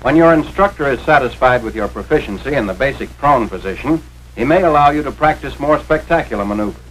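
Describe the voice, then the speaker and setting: A man with an American accent reads scripted instructions as the narrator of a 1940s training film. His medium-pitched voice is authoritative and carefully enunciated. The old film soundtrack carries a faint layer of noise. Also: expressive, fast talker, a bit bright, poor audio.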